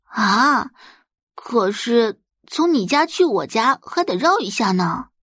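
A woman's voice: on the high side, big pitch swings, takes it slowly, a bit bright, no room sound, clean studio sound, full character voice. A woman voicing a cheerful, polite teenage schoolgirl in an audio drama, chatty and eager with little exclamations. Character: cheerful, polite teenage girl, rich classmate, female.

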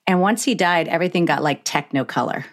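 Female podcast host, middle-aged, low register, candid and emphatic in conversation. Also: fast.

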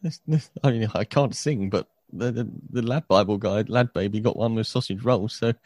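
A man with a lowish voice chats casually and cracks light jokes as a podcast co-host. His sound is close and dry. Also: normal speed, darkish tone, no echo.

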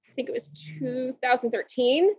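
A woman in her forties from Hawaii, a guest telling her story in an interview, bubbly and quick to laugh, restarting words. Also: high-pitched, a little mellow, husky, dry recording, dull audio.

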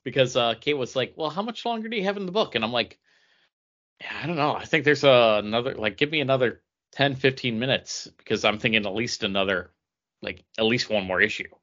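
A man's voice: medium pitch, fairly quick, pushed and bright, dull audio. A man co-hosting a podcast, casually telling an anecdote in everyday conversational speech. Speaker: podcast co-host.

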